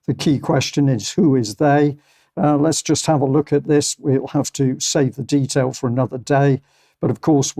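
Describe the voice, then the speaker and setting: A man's voice: mid-range voice, creaky, dark tone. A man presenting and commenting on political news in a loosely unscripted way.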